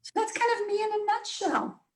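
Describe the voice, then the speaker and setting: A woman's voice: extremely high pitch, fairly even intonation, moderate pace, background noise. A middle-aged woman, a life coach, talking about herself and her beliefs in an upbeat, emphatic way, often in short listed phrases. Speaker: life coach, interview guest. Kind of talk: casual interview talk. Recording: echoey room.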